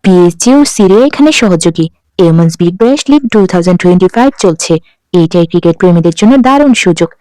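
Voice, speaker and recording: A woman delivers a scripted promotion at a fast pace. Her voice is mellow and clear, at a middling pitch. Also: expressive, no echo, rough recording. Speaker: advertiser.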